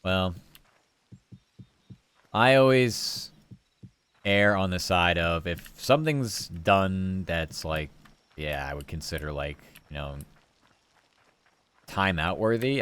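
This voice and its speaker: A man's voice: rumbling low, fairly bright tone. A man livestreaming gameplay, chatting casually with viewers in a cozy, easygoing voice, musing aloud and trailing through his thoughts. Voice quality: comfy, cozy tone.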